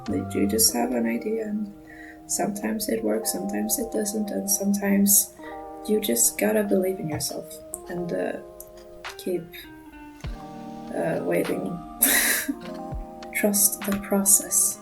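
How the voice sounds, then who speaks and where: A young woman with a non-native English accent gives a casual, nervous voiceover over her drawing, speaking in a monotone and mumbling a little, with frequent small laughs. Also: medium pitch, slow, creaky, pauses often, low-quality recording. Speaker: art channel creator. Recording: music playing underneath.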